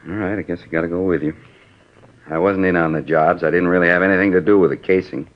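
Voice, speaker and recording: A man voicing a bitter ex-convict suspect in an old radio crime drama, talking fast and sneering back at the police. His voice is low. The sound is dull and lo-fi, like an old broadcast. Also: fairly even intonation, natural voice. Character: surly male ex-con burglar suspect, bitter and defensive. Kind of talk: 1950s radio drama acting.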